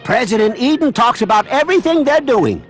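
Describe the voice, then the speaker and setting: A man's voice: very high voice, very animated, brisk, soft background music. A man voicing a zealous old small-town patriot in a video game, folksy, rambling and fervent. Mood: excited, fervent.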